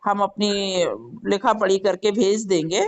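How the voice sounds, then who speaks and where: A woman's voice: mid-range voice, flat delivery, a little slow. A woman on a phone call, a customer who speaks up for other customers. She is earnest and polite. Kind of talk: phone conversation.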